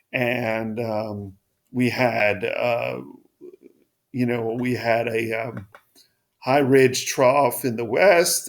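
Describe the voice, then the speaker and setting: A man giving a casual weather forecast over a map. His voice is froggy and hoarse, and he talks haltingly with many restarts. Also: medium pitch.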